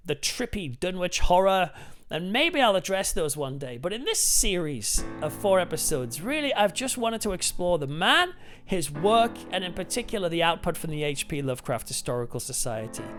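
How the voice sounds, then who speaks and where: A British man hosting a casual film review, talking to viewers in an informal, enthusiastic and emphatic way. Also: sits high. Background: music.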